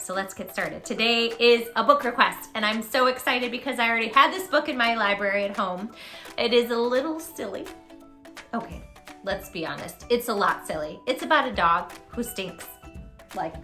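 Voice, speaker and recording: A woman hosting a read-aloud storytime for young children, speaking in an animated, emphatic way straight to her little listeners. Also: on the high side. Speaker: children's storytime host and tutor.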